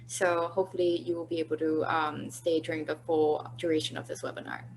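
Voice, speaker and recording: A woman's voice: low-pitched, flat delivery, fairly quick, gravelly, slight background noise, low-quality recording. A woman appearing as a guest panelist on a conversational webinar from home, polite and articulate with occasional fillers. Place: at home, indoors.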